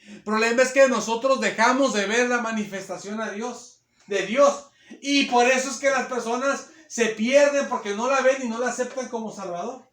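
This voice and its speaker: A man delivers a sermon in a very high, ringing voice. His naturally powerful voice stays partly held back, then swells into emphatic, louder stretches. The sound carries the echo of a reverberant church room. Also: normal speed. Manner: loud, strong voice held back, rising to emphatic bursts. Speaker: preacher.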